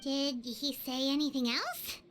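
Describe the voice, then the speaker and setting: A woman voicing a small, excitable, squeamish fairy companion in a video game, with a squeaky childlike voice and exclaiming manner. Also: measured pace, noisy background. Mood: curious, worried. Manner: refers to self by name, exclaiming. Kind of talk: animated game voice acting.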